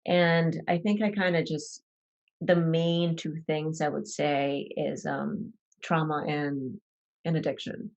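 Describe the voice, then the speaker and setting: A young woman from Ecuador speaks in a low register, telling her story slowly and haltingly. She pauses often, restarts her sentences and fills the gaps with "um" and "like." It sounds like a personal podcast conversation. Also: steady tone, highs cut off. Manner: hesitant, many fillers and restarts. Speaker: podcast guest.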